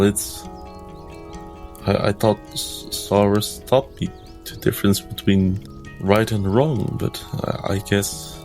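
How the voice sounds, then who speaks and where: A man's voice: fairly low pitch, darkish tone. A man playing an earnest, troubled priest in a tabletop game, stammering and unsure of himself. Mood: anguished, earnest.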